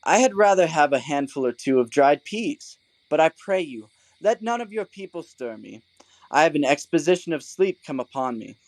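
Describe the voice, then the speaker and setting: Guy playing a silly pompous donkey man, voice swooping all over, fairly high, dry studio sound, stage comedy. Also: expressive, moderate pace, no echo, rough recording. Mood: contented, drowsy. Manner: pompous, mock-courtly, leisurely. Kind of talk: Shakespearean comic audio drama.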